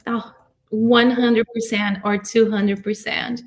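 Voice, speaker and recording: A woman's voice: fairly high pitch, level tone, slow pace. Woman with a Brazilian accent, candid. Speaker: interview guest, arts nonprofit director. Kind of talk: conversational interview answers.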